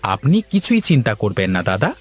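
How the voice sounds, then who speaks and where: A man's voice: lowish voice, very animated, brisk, husky, faint music behind. A man playing a poor, wheedling young village husband in a cartoon moral tale, with a pleading, ingratiating manner. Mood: meek, ingratiating.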